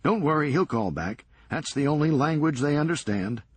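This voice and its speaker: A man voicing a cool-headed, reckless ringleader in a crime story, brisk and unbothered. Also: fairly high pitch, expressive, breathy edge, no room sound, pitched above the performer's own voice. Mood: breezy. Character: unflappable, cheerfully reckless male ringleader.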